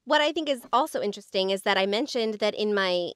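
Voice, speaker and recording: A woman's voice: medium pitch, animated, quick pace, fairly bright tone, no room sound, studio quality. An American woman co-hosting a podcast about voice acting, speaking earnestly and with emphasis. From United States. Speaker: podcast co-host, voice actor. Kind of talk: casual chat.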